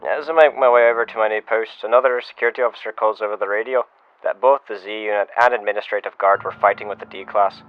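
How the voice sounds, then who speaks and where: Low-voiced shaken security officer, muffled recording man acting. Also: steady tone, fast, clear, resonant tone, close to an everyday voice. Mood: grave, measured. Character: shaken male security officer giving an incident report. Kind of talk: first-person scripted audio log. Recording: muffled lo-fi radio-style sound, music behind. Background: music.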